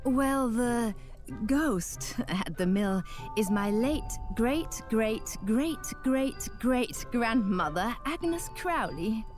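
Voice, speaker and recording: A calm forest witch. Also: on the high side, extremely slow, music in the background. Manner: calm, explaining. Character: young woman, a hermit witch, calm and friendly. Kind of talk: game cutscene voice acting.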